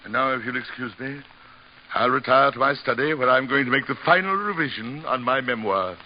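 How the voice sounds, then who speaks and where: A man's voice: mid-range voice, a little fast, close to an everyday voice. Man playing a pompous, theatrical actor on muffled old radio. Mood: lofty. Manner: theatrical, indignant. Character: pompous, grandiose, easily affronted stage actor. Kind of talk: 1950s radio comedy acting. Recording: dull, noisy old-time radio recording.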